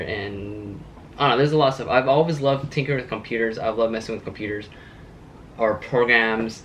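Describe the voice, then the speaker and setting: A man's voice: medium pitch, a bit bright, a little hiss or hum, rough recording. A man in his late twenties chatting casually to his vlog audience, rambling and laid-back with plenty of fillers. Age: 20s. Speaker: car vlogger.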